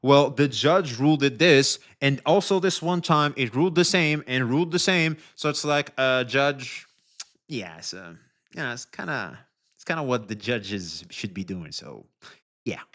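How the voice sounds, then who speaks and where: A man's voice: mid-range voice, lively intonation, measured pace, a bit bright. A man co-hosting, reacting casually with jokes and hesitant fillers. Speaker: co-host reacting.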